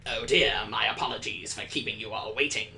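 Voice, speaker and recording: A man's voice: lowish voice, fairly even intonation, brisk, creaky, pushed and bright, slight background noise, pitched below the performer's own voice, exaggerated voice. A man voicing a bleary, easily exasperated male schoolteacher and father in a visual novel game, with music behind him. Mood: flustered apology.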